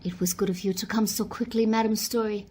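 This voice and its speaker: A woman playing an anxious, proper high-society lady in a radio mystery play, with a formal, theatrical manner. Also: steady tone, fairly quick, warm tone, breathy edge, lightly acted. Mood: anxious, indignant. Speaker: actor in an amateur radio play. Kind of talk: radio mystery drama acting.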